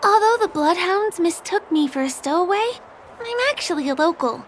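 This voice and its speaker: An actress voicing a friendly young woman who is a guide and stage performer, speaking gently and eager to please. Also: very high-pitched, lively intonation, moderate pace, a little hiss or hum. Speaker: game character. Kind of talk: game dialogue voice acting. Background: game music.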